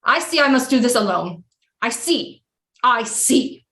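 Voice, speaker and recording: A woman's voice: a little high, animated, measured pace, a bit bright. A woman playing an imperious, lovelorn French queen in a theatrical period audio drama, with haughty, grandiose formal diction. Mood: haughty, scornful.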